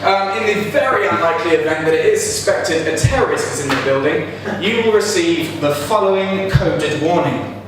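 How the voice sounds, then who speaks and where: A young man doing a deadpan stand-up comedy set in a pub. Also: on the high side, normal speed, hardly any pauses, noisy background. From South East England. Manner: deadpan delivery, laughs at own jokes. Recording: roomy pub sound from far off. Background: audience laughter.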